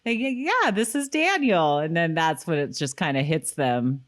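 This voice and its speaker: A woman co-hosting a podcast in a casual, chatty way, quick to laugh and to act out reactions while recapping a show. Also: low voice, lively intonation. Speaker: podcast co-host. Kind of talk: casual chat recapping a TV episode.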